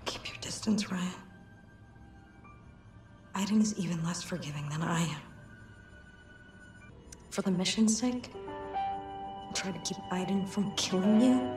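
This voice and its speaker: An actress playing an embittered, defiant young woman in a dramatic video game, questioning in a sharp, confrontational way. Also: fairly low pitch, unhurried, pauses often, over music, close to an everyday voice. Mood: cold, guarded. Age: young adult.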